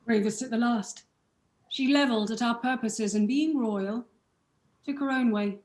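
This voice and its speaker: A woman plays a victorious ruler in Shakespearean radio drama, solemn and commanding. The voice is fairly high and measured, with strong room echo. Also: darkish tone, close to an everyday voice. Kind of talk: theatrical Shakespearean verse in a radio play.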